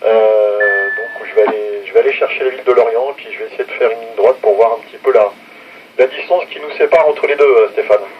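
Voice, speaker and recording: A man with a French accent heard over two-way radio, casually and haltingly reporting details as an amateur operator. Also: fairly low pitch, highs cut off. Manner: halting, spelling out details phonetically. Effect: two-way radio transmission. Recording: noisy, band-limited radio transmission.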